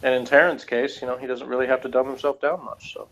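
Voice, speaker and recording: A man chatting casually as a reviewer, talking in a rambling, halting way. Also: medium pitch, fairly even intonation, a bit bright. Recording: dull lo-fi audio.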